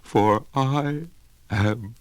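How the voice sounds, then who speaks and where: A man voicing a sly male crocodile in a storybook reading, slow and coaxing. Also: mid-range voice, a little mellow, no echo, voice pushed up.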